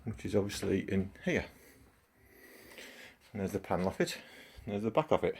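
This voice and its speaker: Croaky middle-aged man with a cold. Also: lowish voice, expressive, moderate pace, darkish tone, slight background noise. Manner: chatty, self-commenting as he works. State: hoarse from a cold. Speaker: gaming channel host. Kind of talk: casual vlog walkthrough.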